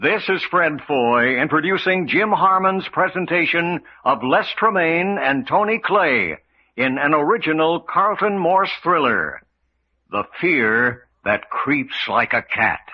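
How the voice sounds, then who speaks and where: A man announcing and narrating an old-time radio mystery in a deliberate, portentous, dramatic manner. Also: a little high, lively intonation. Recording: dull, noisy old-style radio recording.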